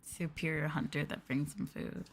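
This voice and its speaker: A woman streamer chatting casually in a soft, affectionate, gushing ASMR style, close to the microphone and sometimes near a whisper. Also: sits low, fairly even intonation, moderate pace, creaky, slight background noise. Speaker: ASMR streamer, VTuber host. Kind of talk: ASMR soft talking, casual chat with viewers.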